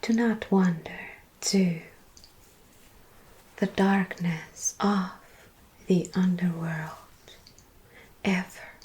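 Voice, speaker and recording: A woman voicing an ageless female vampire who tells scary tales to a mortal in a soft, unvoiced ASMR whisper, slow and drawn out with long pauses. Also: on the low side, fairly even intonation. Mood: hushed, ominous. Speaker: ASMR storyteller. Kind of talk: ASMR roleplay storytelling.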